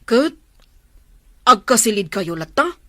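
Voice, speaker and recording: An inquisitive, supportive young female friend from an audio drama , acted by a woman. Her voice is fairly high and the sound is dry. She has an Ilocano accent and puts her questions expressively. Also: sing-song, takes it slowly, bright, forceful tone, no echo, full character voice. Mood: curious, concerned.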